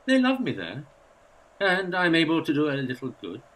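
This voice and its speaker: A man's voice: on the high side, huge pitch swings, moderate pace, fairly bright tone. Man acting a hesitant, doddering old English rector. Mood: gentle, troubled. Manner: hesitant, apologetic, nervously chuckling. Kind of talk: radio play acting. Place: actor's home.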